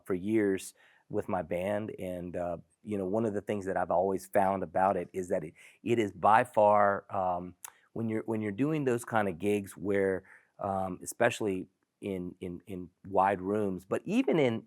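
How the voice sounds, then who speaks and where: A man with a low voice hosts a product discussion and leads it along with enthusiasm. His voice is recorded dry and close, with little room sound. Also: a little mellow, dry recording. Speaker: host.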